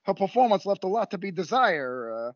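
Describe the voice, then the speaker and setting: A man playing an excitable, bumbling Canadian wrestling sidekick in a comedic audio play, stammering and repeating his words. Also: high-pitched, a little fast, no room sound, highs cut off. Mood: flustered, enthused.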